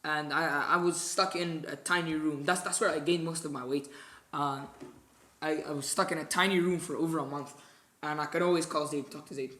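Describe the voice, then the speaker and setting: Quick-talking youthful male, roomy sound. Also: fairly high pitch, steady tone, pushed and bright. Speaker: podcast guest. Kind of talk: casual chat.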